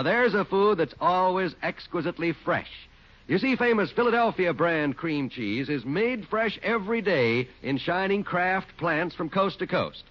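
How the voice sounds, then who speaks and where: An American man working as a radio announcer in 1940s style, reading sponsor copy and credits in a husky voice. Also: high-pitched. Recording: dull 1940s radio recording.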